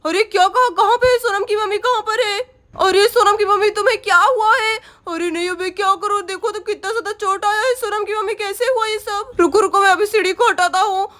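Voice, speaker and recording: A woman's voice: very high voice, fairly even intonation, fairly quick, pushed and bright. A woman voicing a worried middle-aged father who keeps reassuring his hurt wife, soothing and urgent.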